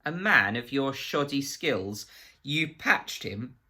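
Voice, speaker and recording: A man's voice: medium pitch, sing-song, takes it slowly, bright, forceful tone, voice pushed up, exaggerated voice. A man playing a prissy, menacing government agent in a story, officious, pompous and precise. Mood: icy condescension.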